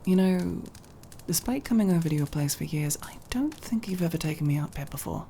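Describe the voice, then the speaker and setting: A young woman playing a guarded but tender tomboy friend in an ASMR roleplay, speaking intimately and haltingly, with sincere, teasing, casually sweary delivery. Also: low-pitched, lively intonation, dark tone, a little hiss or hum. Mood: soft teasing. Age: young adult. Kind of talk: ASMR roleplay, intimate one-sided dialogue.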